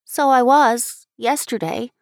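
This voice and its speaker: An earnest little schoolgirl played by a woman, petulant at times and childlike in her eager emphasis. The voice is high and recorded close and dry, as in a storybook reading. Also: expressive, slow pace, vocal fry, no echo, exaggerated voice. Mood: earnest, hesitant.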